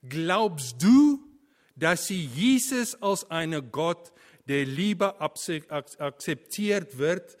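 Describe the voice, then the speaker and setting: Male preacher, middle-aged, Afrikaans first language, earnest and emphatic, slow and lively in pitch, fairly high voice. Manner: earnest, emphatic, with slow stressed phrases and non-native grammar slips. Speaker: preacher, former theology teacher. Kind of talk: sermon.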